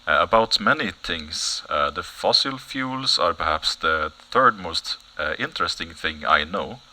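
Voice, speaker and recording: An actor playing a mysterious, solemn foreign informant in an audio comedy, halting, evasive and deadpan. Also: male voice, low voice, full character voice. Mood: cryptic, solemn. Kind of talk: satirical news audio comedy acting.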